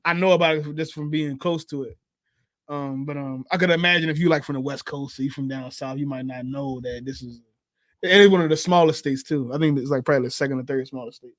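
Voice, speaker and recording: A man's voice: medium pitch, fairly even intonation, fast, dry recording. A man from Philadelphia co-hosting a casual podcast chat, laughing often and repeating his words. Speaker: podcast co-host.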